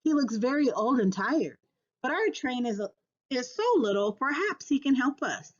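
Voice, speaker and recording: A woman's voice: sits high, animated, moderate pace, slightly rough, muffled recording. A woman voicing a small, hopeful toy clown in a storybook, calling out encouragingly. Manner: crying out, encouraging.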